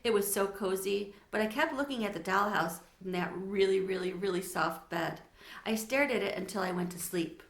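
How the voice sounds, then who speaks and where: A woman's voice: mid-range voice, normal speed. A woman reading a children's storybook aloud expressively, like a teacher, with asides to her listeners. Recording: echoey untreated room.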